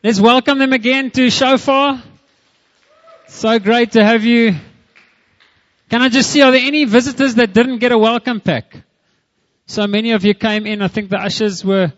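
A male pastor in his thirties with a South African English accent, praying and then preaching in a church in a chatty, warm way that is full of "amen". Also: high-pitched, no echo, highs cut off. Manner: warm, chatty, mixes in Afrikaans, lots of "amen" and "Lord". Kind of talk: church prayer and sermon. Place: church hall with a live congregation.

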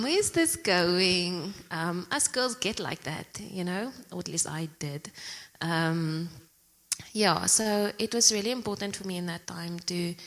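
A young woman from Zimbabwe sharing a personal testimony at church, earnest and soft-spoken, with many pauses and "um"s. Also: fairly low pitch. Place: church hall. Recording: long reverb of a church hall.

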